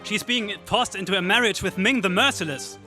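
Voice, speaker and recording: A man's voice: sits high, a little fast, over music, lightly acted. A man playing a brave young Earth hero, earnest and urgent, in a 1930s radio serial style. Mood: urgent resolve.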